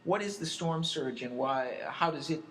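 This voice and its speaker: A male radio talk show host putting questions conversationally, in a halting way, often rephrasing them mid-sentence. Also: fairly high pitch, fairly bright tone, slight background noise, poor audio.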